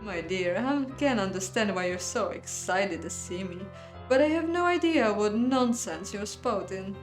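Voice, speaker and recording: A woman's voice: medium pitch, animated, a little slow, soft background music, close to an everyday voice. A woman voicing an arrogant young man, a teasing, dismissive aristocratic fiancé in a visual novel. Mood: haughty, dismissive.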